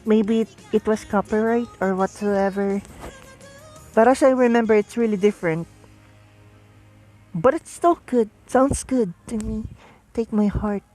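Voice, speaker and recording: A young woman talks through a game in a casual, unhurried way at a medium pitch. She tends to repeat words as she reacts aloud, with faint music playing behind her. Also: warm tone, poor audio. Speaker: visual novel streamer. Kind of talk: casual gameplay commentary with read-aloud game text.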